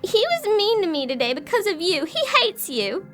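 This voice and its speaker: Sulky scared little girl, very high voice, swinging intonation, spoiled, horror audio drama. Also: big pitch swings, moderate pace, a bit bright, soft background music, heavily acted.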